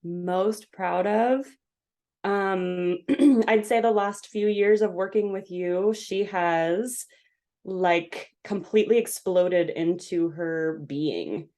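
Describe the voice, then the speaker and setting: A woman with a fairly low voice takes part in a casual podcast chat as the guest. She speaks frankly and expressively, in loose conversational phrasing. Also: takes it slowly, warm tone.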